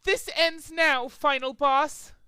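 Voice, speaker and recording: Man putting on a grandiose high-pitched pony magician. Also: steady tone, slow pace, pushed and bright, no room sound, clean studio sound, heavily acted. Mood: defiant triumph. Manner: grandiose, theatrical announcing. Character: boastful, short-tempered female pony stage magician.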